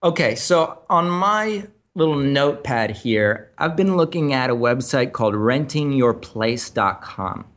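A man's voice: medium pitch, expressive, unhurried, few pauses, a bit bright. A man co-hosting a casual podcast, explaining tools and data in an analytical way with many ums and restarts. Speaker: podcast co-host. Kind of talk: casual chat.

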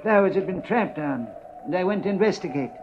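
An old cemetery caretaker, cheerful and a little sly, with folksy deference. His voice sits a bit high. It is 1950s radio drama, muffled and faintly noisy. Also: animated, brisk. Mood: earnest, recounting. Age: older.

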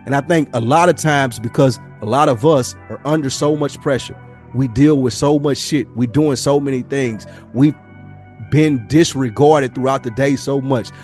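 A man speaks casually as a podcast speaker over music, at a middling pitch. He is an animated storyteller who slips into imagined dialogue and swears freely. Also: moderate pace.

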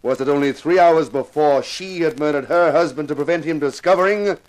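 An actor playing a wry, world-weary television star of a detective show, in 1950s radio drama style, dramatic and wisecracking. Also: male voice, a little high, a little fast, close to an everyday voice, low-quality recording. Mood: hard-boiled, triumphant. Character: wry, world-weary male TV actor who plays a hard-boiled inspector. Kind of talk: 1950s radio detective drama acting with first-person narration.

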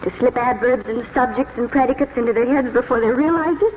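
Actress as an earnest, dreamy young schoolteacher, tinny old radio. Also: female voice, fairly high pitch, fast, a little hiss or hum, natural voice. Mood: dreamy, excited. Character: eager, romantic, idealistic young woman schoolteacher. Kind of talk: 1940s radio drama acting. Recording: tinny 1940s radio recording with music.

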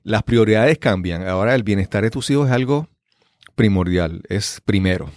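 A man's voice: on the low side, normal speed, darkish tone, no echo. A man hosting a podcast, introducing and interviewing in a conversational way. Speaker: podcast host.

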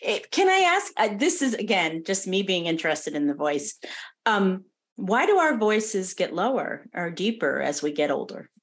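A woman's voice: medium pitch, sing-song, normal speed. A woman hosting a casual interview, curious and full of questions. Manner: curious, asks many questions. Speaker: podcast host.

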